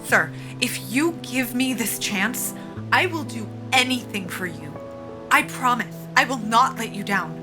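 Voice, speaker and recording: An earnest, desperate female lieutenant, high voice. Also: animated, measured pace, music in the background. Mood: impassioned pleading. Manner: earnest, pleading, informal. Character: desperate, earnest young female military lieutenant at job interview. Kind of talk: cinematic audio drama acting.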